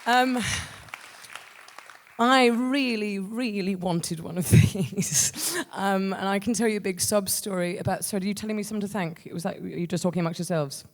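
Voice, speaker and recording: A woman's voice: mid-range voice, long reverb tail, a faint crowd. Flustered woman giving an informal acceptance speech. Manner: self-deprecating, flustered, halting. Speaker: writer-performer accepting an award. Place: live on stage at an awards ceremony.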